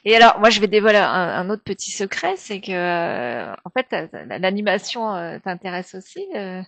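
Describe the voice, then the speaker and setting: A woman hosting a casual interview, speaking informally and haltingly, with interjections and unfinished sentences. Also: mid-range voice, expressive, a bit bright, dry recording. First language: French. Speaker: interviewer, host.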